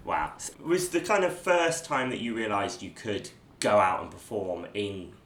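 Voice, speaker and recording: A man with a fairly low voice hosts an interview, recorded in a roomy space with noticeable echo. He asks his questions in a measured way, pausing on fillers and restarting phrases. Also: a bit bright, a little hiss or hum. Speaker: podcast interviewer. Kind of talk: conversational interview. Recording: echoey room.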